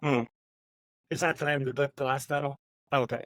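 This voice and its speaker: Man, casual and halting, dry sound. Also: mid-range voice, steady tone, fairly bright tone, dry recording. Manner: hesitant, repeats words. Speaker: podcast co-host. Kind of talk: casual book discussion.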